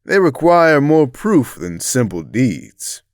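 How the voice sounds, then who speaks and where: A man's voice: medium pitch, very animated, slow, clear, resonant tone, no room sound, clean studio sound. A man playing a big middle-aged crime boss in an audio drama, theatrical and condescending, with menace under a mock-friendly manner.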